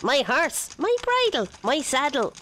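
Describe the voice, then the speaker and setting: A woman's voice: high voice, sing-song, unhurried, slight background noise, voice pushed up. A woman voicing a band of mischievous fairy folk in a storybook tale, chanting and scheming.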